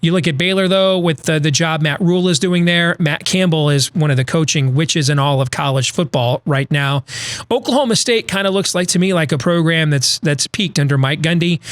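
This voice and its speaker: A man's voice: on the high side, fairly bright tone, no room sound. Talkative male sports analyst, dry sound. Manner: conversational, with frequent restarts and self-corrections. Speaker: podcast host. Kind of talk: college football analysis monologue.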